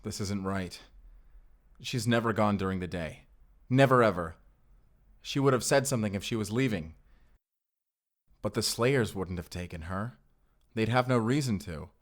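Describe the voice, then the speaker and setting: Male actor as mysterious vampire slayer, low voice, earnest and protective, intimate audio drama delivery. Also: moderate pace, pauses often, natural voice. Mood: worried. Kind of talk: cinematic audio roleplay acting.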